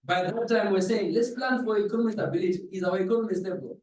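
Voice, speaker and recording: A Zimbabwean man delivers a public address in a slightly high voice. He builds his case through rhetorical questions to the listeners. The sound is echoey, with the microphone some way off. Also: fast talker, a little mellow. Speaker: pastor giving a presentation. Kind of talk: public address in a dialogue forum. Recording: echoey room, distant microphone.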